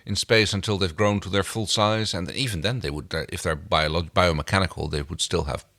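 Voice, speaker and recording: A European man co-hosting a podcast, casually recapping a TV episode in an agreeable, halting, thoughtful way. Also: low-pitched, steady tone, bright, forceful tone. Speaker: podcast co-host.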